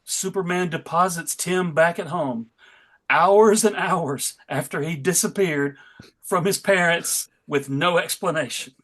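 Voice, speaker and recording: A man's voice: a little high, a little slow, a bit bright. A man hosting and narrating a comic book table read in a dramatic storytelling style. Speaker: narrator and host.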